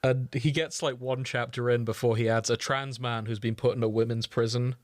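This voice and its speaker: A man's voice: mid-range voice, fairly even intonation, a little fast, no echo, clean studio sound. A man co-hosting a podcast in casual comedic chat, with a wry, jokey manner and some swearing. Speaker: podcast co-host.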